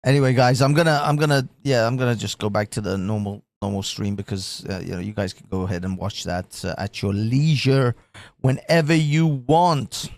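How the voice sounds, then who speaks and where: Croaky man hosting a livestream. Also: medium pitch, normal speed, no echo. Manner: swearing, rambling with many restarts. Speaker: livestream host. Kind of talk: casual livestream chat.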